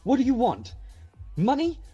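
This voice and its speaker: A man's voice: very high-pitched, huge pitch swings, normal speed, warm tone, husky, highs cut off, faint music behind, exaggerated voice. A man playing an anxious, blustering wealthy father in an audio drama.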